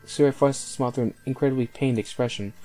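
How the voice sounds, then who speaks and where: A man's voice: mid-range voice, fast, dark tone, soft background music. A man reading visual novel text aloud as he hosts a playthrough. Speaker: let's play host.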